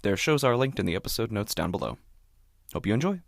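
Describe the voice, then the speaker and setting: A man's voice: fairly low pitch, sing-song, fast, creaky, dry recording, studio quality. A man hosting and narrating a scripted horror story. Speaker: podcast host and narrator.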